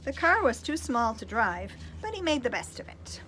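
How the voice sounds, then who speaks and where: A woman's voice: fairly high pitch, very animated, moderate pace, a bit bright, slight background noise. A woman reading a children's picture book aloud, playful and chuckling, with asides to her listeners. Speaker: storytime reader, teacher.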